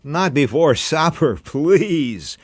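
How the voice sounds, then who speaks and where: Story character, cynical bullying beachcomber, done by a male reader: loud, raised pitch, brash and scornful. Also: very animated, slow pace, no room sound, studio quality, exaggerated voice. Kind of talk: dramatic audiobook character voice.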